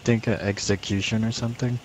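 A man with a fairly low voice talks casually in character in an online roleplay game. His intonation is very flat, and light noise runs through the voice chat. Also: a little fast. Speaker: roleplay gamer playing a survivor. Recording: noisy gaming voice chat.

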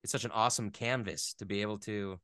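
Guy with a lowish smooth voice, casual interview chat, thinks out loud, really dry close sound. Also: steady tone, no echo. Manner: thoughtful, halting, searching for words. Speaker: interview guest, video game voice actor.

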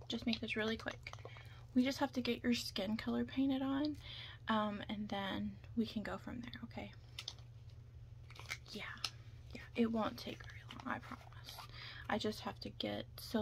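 A young woman doing an ASMR roleplay in whispers and soft talk, hushed, chatty and a little hesitant, recorded close up indoors. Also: medium pitch, steady tone, normal speed, vocal fry, pauses often, slight background noise.

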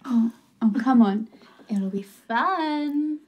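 A playful young woman in a film drama, eager and coaxing. She takes her time, with lively swings in pitch and faint room noise. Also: slow, dull audio. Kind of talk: naturalistic film acting.

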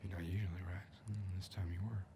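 A middle-aged man playing a gruff, skeptical backwoods tough guy in an ASMR roleplay, murmuring laconically and doubtfully. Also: flat delivery, quick pace, background noise. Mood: doubtful, resigned. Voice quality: hushed, low murmur. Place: dim indoor room.

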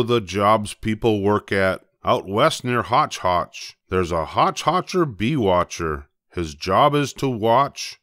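An older man reading a rhyming children's storybook aloud, expressively, with playful emphasis and drawn-out words. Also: lowish voice, fairly bright tone, no echo, studio quality. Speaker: storybook reader.

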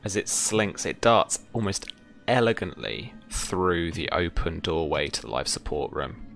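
Young man, game master, very low pitch, creaky, casual halting narration, background music. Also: animated, a bit bright. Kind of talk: improvised tabletop game narration and casual rules talk. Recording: music behind the voice.

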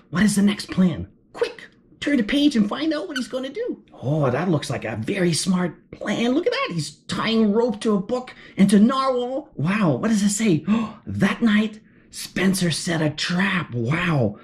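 A woman's voice: medium pitch, very animated, measured pace, a little mellow. A woman reading a picture book aloud to children, gasping with surprise and asking the listener questions as she turns the pages. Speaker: children's story reader. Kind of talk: animated children's read-aloud.

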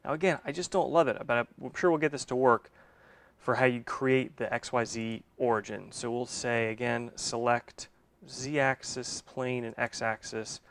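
A man's voice: mid-range voice, steady tone, a little slow, fairly bright tone. Man thinking aloud through a shop job. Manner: thinks aloud while working, with self-corrections. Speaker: machine shop owner and video host. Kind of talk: casual instructional walkthrough. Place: machine shop, indoors.

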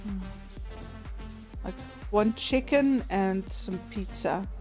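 Soft-spoken woman streamer chatting. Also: mid-range voice, slow, soft background music. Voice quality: soothing voice. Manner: relaxed, chatty, frequent little laughs and fillers. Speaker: game streamer. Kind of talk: casual gameplay commentary, chatting with viewers.

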